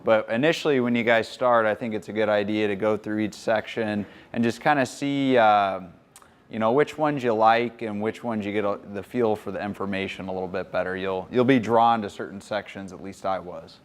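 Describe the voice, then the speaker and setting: A man in his thirties giving a relaxed, chatty conference presentation in a hotel conference room. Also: lowish voice, normal speed. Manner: relaxed, chatty explaining with fillers.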